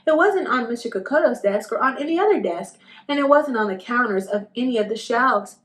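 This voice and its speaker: A gentle, thoughtful young schoolgirl from a picture book, voiced by a woman reader. She speaks politely in a fairly high voice with expressive rises and falls. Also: fairly quick, natural voice. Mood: puzzled.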